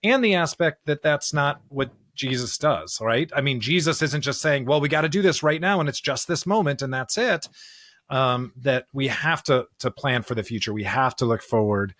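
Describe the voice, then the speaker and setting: A man's voice: medium pitch, normal speed, a bit bright, no room sound, clean studio sound. A man co-hosting a podcast, talking through scripture in an earnest, emphatic, conversational way. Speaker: podcast co-host.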